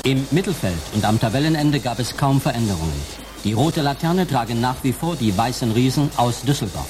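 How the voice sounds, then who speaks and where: A man's voice: mid-range voice, animated, brisk, natural voice. Male actor for formal sports-table announcer in radio play, mid-range voice, factual, prominent music bed. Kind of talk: scripted sports bulletin in a radio drama.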